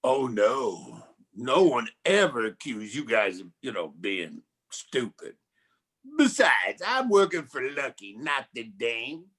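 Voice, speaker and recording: A man's voice: medium pitch, sing-song, takes it slowly, fairly bright tone, breathy edge, exaggerated voice. Guy doing a glib old-time radio private eye, slangy noir wisecracks, takes his time, really up and down. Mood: cocky. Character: wisecracking sweet-talking 1940s noir private detective, male. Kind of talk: campy 1940s radio noir acting.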